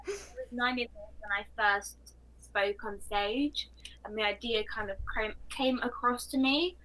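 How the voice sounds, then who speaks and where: A woman's voice: a little high, fairly even intonation, a little slow, pushed and bright, poor audio. A fourteen-year-old girl with a London British accent, talking casually and at length as an interview guest. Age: 14 years old. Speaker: interview guest, motivational speaker.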